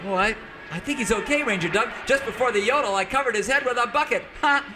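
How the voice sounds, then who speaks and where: An actor playing an eager, simple-hearted cowboy sidekick in a folksy old-time radio serial parody. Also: male voice, very high voice, quick pace, background noise. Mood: excited.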